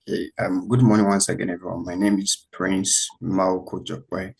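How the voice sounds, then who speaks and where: A man introduces himself in a meeting. His voice is very deep, flat and gravelly, with a husky edge. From Ghana. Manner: brisk, uses "okay, so". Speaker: AI engineer.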